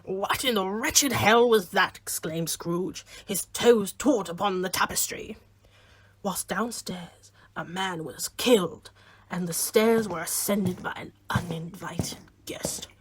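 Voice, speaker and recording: Female narrator, unhurried, husky breathy tone, theatrical storytelling, radio play. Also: medium pitch, low-quality recording. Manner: theatrical, hushed at times.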